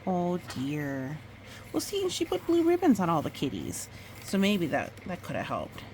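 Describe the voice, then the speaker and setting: Slow, expressive woman reading a storybook. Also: on the low side, slight background noise, rough recording. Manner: expressive, pauses to comment on the pictures. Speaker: storytime reader. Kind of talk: read-aloud storytime, chatty asides.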